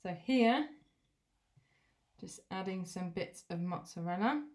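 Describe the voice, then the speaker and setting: A woman's voice: mid-range voice, lively intonation, slow pace. A young woman teacher demonstrating a recipe for children at home. Her delivery is patient and encouraging, breaking each step down simply. She is heard in a domestic kitchen on the camera's own mic. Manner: gentle, encouraging, step-by-step explaining.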